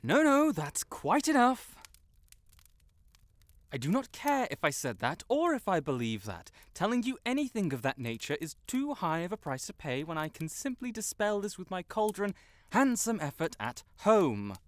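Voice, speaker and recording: A man's voice: high voice, sing-song, moderate pace, dry recording. A man playing a haughty, wordy young wizard who sputters as he is compelled to honesty, in an audio roleplay addressed to the listener. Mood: indignant, haughty. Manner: pompous, theatrical, wordy, sputtering.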